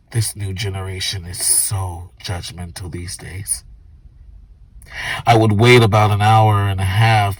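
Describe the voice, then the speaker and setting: A man reads a first-person audiobook in a fairly low voice. He takes his time, telling the story conversationally and with plenty of swearing, like a narrator speaking directly to the listener. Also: poor audio. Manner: conversational, profane, storytelling delivery.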